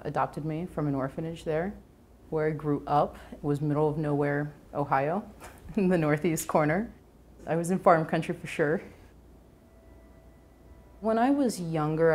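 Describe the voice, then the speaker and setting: This is a woman, talking about her own life in a documentary interview recorded at home. She speaks earnestly and reflectively and sometimes stops to restart a thought. Soft music plays quietly underneath. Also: low-pitched. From Venezuela. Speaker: chef. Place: home kitchen and living room, indoors.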